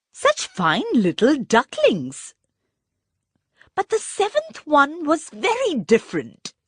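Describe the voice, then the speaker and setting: A woman narrating a children's story from a script in an expressive, deliberate storybook manner. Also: fairly high pitch, husky, dry recording, studio quality.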